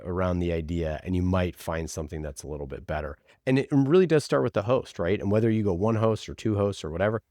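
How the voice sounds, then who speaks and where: A man's voice: sits low, darkish tone, dry recording, clean studio sound. A man talking casually as an interview guest and podcast producer, giving emphatic, opinionated advice and acting out imagined exchanges.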